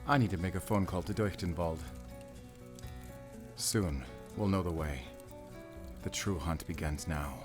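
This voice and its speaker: A man playing a seasoned, commanding yet tender werewolf hunter in an audio roleplay, measured and resolute. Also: sits low, music in the background. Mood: warm, decisive. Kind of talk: cinematic audio roleplay acting.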